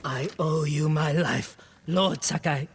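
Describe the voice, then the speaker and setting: An actor playing an excitable, roguish sake merchant and hapless schemer in a video game cutscene, wheedling and theatrical. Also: male voice, on the high side, takes it slowly, soft background music. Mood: ingratiating.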